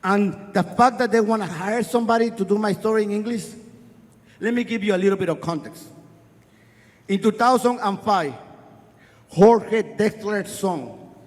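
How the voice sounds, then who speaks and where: High-voiced Spanish-accented storyteller on stage. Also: male voice. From Guatemala. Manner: earnest, wry, speeds up and slows down for effect. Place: festival stage before an audience. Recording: live hall with long reverb.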